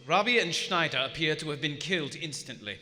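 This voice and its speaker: A man's voice: fairly high pitch, animated, brisk, soft background music. A man acting a dutiful, strained submarine lieutenant, formal and deferential, in live radio theater. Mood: grim. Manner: deferential, formal military reporting. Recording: echoey theater hall, loud hiss.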